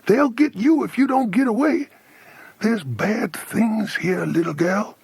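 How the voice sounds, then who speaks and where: A man voicing a poor, lost American man in hiding, hoarse and urgent in an audiobook story. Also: high voice, measured pace, darkish tone, no room sound, voice pushed up, full character voice. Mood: urgent warning. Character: frightened, friendly American man hiding in a tree, urgent warnings.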